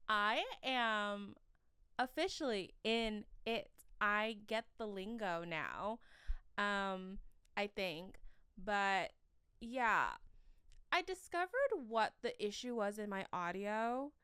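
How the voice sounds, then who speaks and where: A young woman hosting a casual solo podcast from the corner of her bedroom, with a shrill voice, rambling and self-mocking between fillers, sleepy. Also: expressive, slow, sharp tone, smooth, no room sound, studio quality. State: sleep-deprived. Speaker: podcast host.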